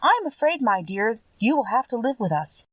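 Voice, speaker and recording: A kindly little old witch from a storybook, acted out by a woman, speaks with solemn helpfulness. Her pitch lifts and swoops from phrase to phrase, heard a little way from the microphone in a dry space. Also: very animated, brisk, a bit bright, dry recording, distant mic, muffled recording. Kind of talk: audiobook character voice.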